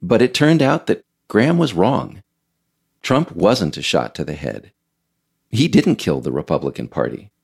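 Very deep-voiced man narrating, slightly gravelly. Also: sing-song, measured pace, darkish tone. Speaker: host and narrator of a podcast series. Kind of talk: scripted narration, audio essay read aloud.

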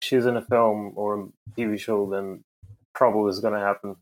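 A man's voice: on the low side, flat delivery, normal speed, poor audio. A man chatting casually as a podcast guest, giving his review in a conversational way.

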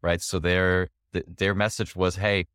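A man's voice: deep voice, flat delivery, a little slow, a bit bright, smooth, no echo, clean studio sound. A man hosting a podcast interview, enthusiastic and often tagging sentences with a question. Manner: enthusiastic, ends sentences with "right?". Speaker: podcast host.

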